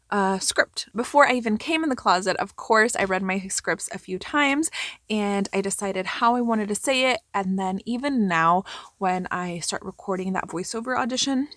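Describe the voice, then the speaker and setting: Female vlogger, 30s, mid-pitched, informal and explanatory, recorded dry in a small closet. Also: a bit bright, no echo. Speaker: vlogger and actor. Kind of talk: casual how-to vlog talk to camera. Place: small clothes-filled closet, indoors. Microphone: compact camera microphone close by.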